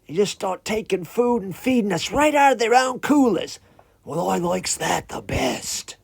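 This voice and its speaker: A man's voice: high-pitched, lively intonation, measured pace, gravelly, husky, exaggerated voice. A man voicing a chatty, cheeky iguana prime minister with a British accent and slangy, rambling, folksy talk in a comic story. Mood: cheerful, hospitable.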